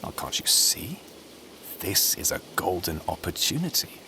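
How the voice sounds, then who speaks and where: An actor playing a scheming, grandiose conspirator in a scripted audio drama, speaking in silky speeches. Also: male voice, low voice, big pitch swings, a little slow, gravelly, pushed and bright, a little hiss or hum, exaggerated voice. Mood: smug, eager. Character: scheming, theatrical male political conspirator relishing intrigue.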